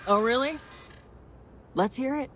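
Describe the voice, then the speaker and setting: A woman's voice: high voice, a little slow, slight background noise. A woman playing a menacing, sardonic frontier gang boss in a video game, with a taunting, theatrical drawl. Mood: amused.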